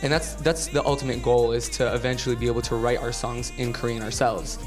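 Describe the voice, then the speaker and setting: A man in a pop group explains how the band works, cheerful and easy, with some self-mocking humor. Music plays clearly underneath his voice. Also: medium pitch, level tone, fairly quick. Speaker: band member, dancer. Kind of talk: casual interview.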